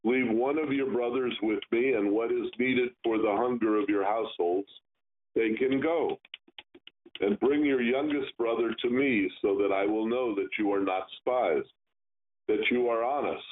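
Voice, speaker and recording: A man's voice: medium pitch, unhurried, a little hiss or hum, close to an everyday voice. A man reading a stern ruler giving orders. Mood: commanding. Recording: tinny phone-like radio sound.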